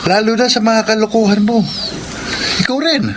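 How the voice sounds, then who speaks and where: A man's voice: high voice, fairly even intonation, normal speed, natural voice. An actor playing a young man's friend who teases and then lectures him, in a radio drama. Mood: admonishing. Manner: teasing then admonishing. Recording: hissy old radio recording.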